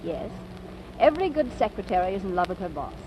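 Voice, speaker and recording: An actress playing a brisk, witty, lovelorn secretary with a wry, teasing manner in a 1940s radio comedy. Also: female voice, mid-range voice, big pitch swings, warm tone. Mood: wry, fond. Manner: wry, quick-witted, teasing. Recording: dull, noisy old radio recording.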